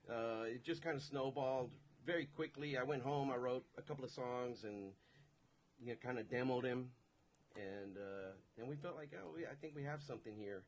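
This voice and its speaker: A man's voice: medium pitch, normal speed, a little hiss or hum. A man whose first language is Spanish, a musician guest telling a story on a radio show. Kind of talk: casual storytelling.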